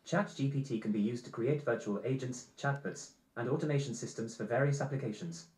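Fast text-to-speech guy reading answers, synthetic, roomy computer playback sound. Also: mid-range voice, a little mellow. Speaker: AI voice assistant.

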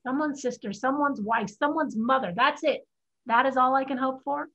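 Woman playing husky, higher-pitched practical young woman. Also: normal speed, pushed and bright, full character voice. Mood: defiant. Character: Ying Tai, practical, defiant young Chinese woman. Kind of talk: staged reading of a stage drama. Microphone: video call. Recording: dull video-call audio.

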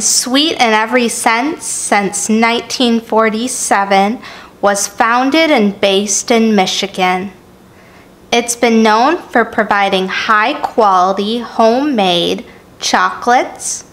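A woman in her thirties presents a food review in a fairly high voice. She takes her time, speaking slowly and deliberately. The room around her adds a noticeable echo. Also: fairly bright tone. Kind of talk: scripted vlog narration and casual food review. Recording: echoey home room.

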